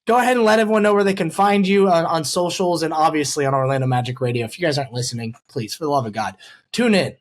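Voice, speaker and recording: High-voiced man hosting a sports chat. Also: quick pace. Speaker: sports show host. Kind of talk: casual fan chat.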